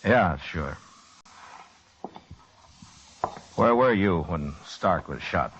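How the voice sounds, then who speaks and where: A low-pitched, stern western marshal, terse and weary. He sounds like an old radio drama, dulled and noisy. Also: expressive, slow, a bit bright, full character voice. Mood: dry, probing. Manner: terse, grave, plain-spoken. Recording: dull, noisy old radio broadcast recording.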